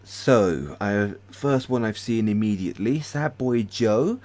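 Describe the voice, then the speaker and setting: A British man hosting a casual livestream, chatting hesitantly with many pauses and restarts. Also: on the low side, animated, a little mellow. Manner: hesitant, lots of ums and restarts, friendly. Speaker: ASMR channel host.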